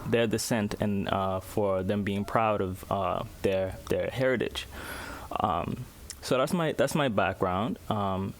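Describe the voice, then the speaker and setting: A man from the Bahamas, giving earnest casual commentary as a vlogger and pausing to search for his words. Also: fairly low pitch. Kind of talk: casual spoken commentary to camera.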